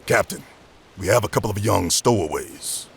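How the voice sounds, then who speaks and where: An actor playing a gruff, dutiful pirate quartermaster with a gravelly voice in an audio drama. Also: male voice, fairly low pitch, animated, normal speed, fairly bright tone, a little hiss or hum. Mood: stern.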